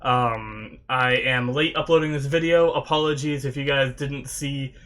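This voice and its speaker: A man's voice: mid-range voice, fairly even intonation, a little slow, fairly bright tone. A man hosting an anime reaction video, chatting casually to viewers while still sniffly and recovering from a sore throat. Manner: rambling, loose, talks with many fillers.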